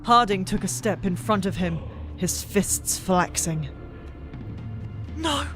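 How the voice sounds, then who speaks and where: Slow, dramatic fiction narrator. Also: mid-range voice. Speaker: audiobook narrator. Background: soft background music.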